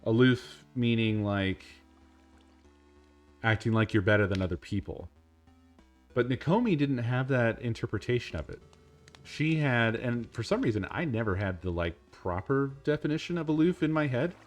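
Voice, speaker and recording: A man with a deep, monotone voice, talking in an unhurried, casual way while he streams a game. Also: faint music behind.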